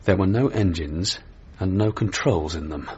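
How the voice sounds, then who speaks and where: An actor playing an unflappable, courteous English gentleman athlete in a radio drama, well-spoken and understated, with a British accent. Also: deep voice, normal speed, breathy edge, highs cut off. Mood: apologetic, sober.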